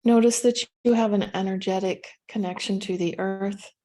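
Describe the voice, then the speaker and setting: Female meditation guide, relaxed and warm in tone, mid-pitched, gentle and unhurried delivery. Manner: gentle, unhurried instruction with pauses.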